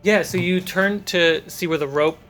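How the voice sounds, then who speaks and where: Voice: medium pitch, moderate pace, a bit bright. A game master narrating a tabletop fantasy adventure and calling for dice rolls. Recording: background music under the voice.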